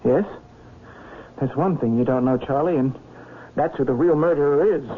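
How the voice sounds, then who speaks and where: An actor playing a desperate, nervous accused man on the run in a 1970s radio drama, speaking haltingly with stammers. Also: on the high side, husky. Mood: desperate, evasive. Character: desperate, nervous male fugitive wrongly accused of murder. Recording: muffled vintage radio recording with hiss.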